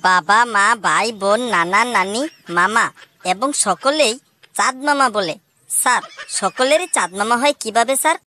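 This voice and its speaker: A woman's voice: medium pitch, moderate pace, pushed and bright, close to an everyday voice. A woman voicing cheeky, argumentative schoolchildren in a comedy cartoon. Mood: cocky, cheeky. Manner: cocky, argumentative. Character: cheeky schoolchildren answering back to their teacher.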